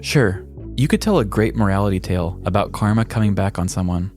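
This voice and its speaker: A man's voice: fairly low pitch, fairly quick, a little mellow, faint music behind. An American man co-hosting a podcast, chatting and telling stories in a casual, playful, wry way. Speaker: podcast co-host. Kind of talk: casual chat and storytelling.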